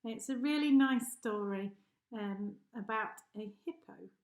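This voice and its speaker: A woman's voice: fairly high pitch, unhurried. A woman reading a rhyming children's story aloud expressively, like a teacher addressing pupils. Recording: echoey room.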